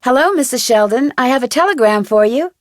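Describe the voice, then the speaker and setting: A woman's voice: sits high, lively intonation, fairly quick, background noise. An actress playing a polite, curious young woman detective asking questions. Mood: inquisitive. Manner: polite, inquisitive.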